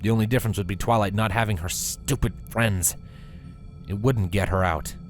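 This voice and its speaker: A man's voice: lowish voice, normal speed, soft background music. A man narrating a scripted story as a reader. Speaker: fan fiction reader.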